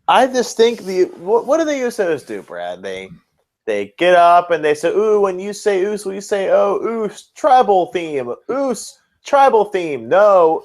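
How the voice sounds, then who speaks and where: A man co-hosting a casual wrestling talk podcast, blunt and critical, often repeating phrases to hammer a point home. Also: sits high. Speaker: podcast co-host. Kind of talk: casual wrestling commentary chat.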